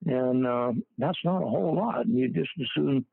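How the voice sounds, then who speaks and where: An older man talks in a gravelly, husky voice, stopping and restarting as he recalls things. The sound is dry and tinny, like a phone line. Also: on the high side, very animated, no room sound. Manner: halting, with many fillers and restarts. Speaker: interviewee. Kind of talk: casual recollection.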